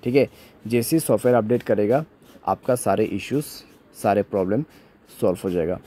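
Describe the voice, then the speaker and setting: A man's voice: fairly low pitch, moderate pace, mellow. A man giving a step-by-step phone troubleshooting tutorial as a voice-over in an instructional way. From India. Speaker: tech tutorial host.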